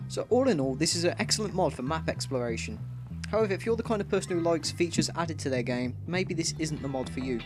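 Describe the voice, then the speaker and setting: A man's voice: on the high side, lively intonation, quick pace, music in the background. A man hosting a gaming video with casual, explanatory commentary.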